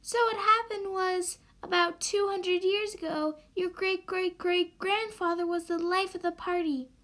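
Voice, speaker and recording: Radio drama townsperson, female performer: high voice, measured pace, earnest and a little grudging. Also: fairly even intonation, sharp tone, heavily acted. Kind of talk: amateur radio drama acting.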